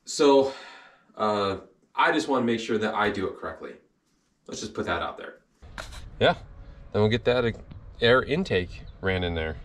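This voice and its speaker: A man's voice: fairly low pitch, lively intonation, moderate pace, pauses often, a little hiss or hum. A man in his forties talking casually to camera as a builder vlogging his work plans, in a colloquial, folksy, self-deprecating way. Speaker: home improvement vlogger, builder. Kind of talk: casual vlog talk about work plans. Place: job site, outdoors and in unfinished house.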